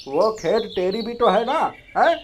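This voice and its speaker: A meddling village friend in a storybook tale, voiced by an actor. He goads and chides with very animated, swooping pitch. Faint noise and birds are heard behind. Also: a little slow, close to an everyday voice. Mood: nosy, goading. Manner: pushy, egging on, chiding. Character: meddling, goading village friend of a young farmer.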